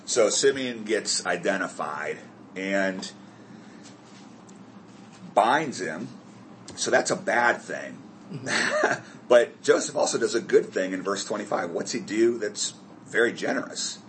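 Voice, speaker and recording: A man's voice: lowish voice, expressive, takes it slowly, long pauses, a bit bright, slight background noise. A man leading a group Bible study discussion, asking the group questions and correcting himself as he goes.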